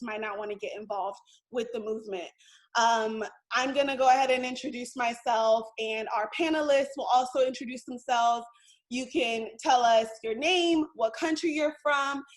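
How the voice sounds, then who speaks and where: Panel host, woman from Nigeria, measured delivery with um fillers, voice a little high. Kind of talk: panel discussion.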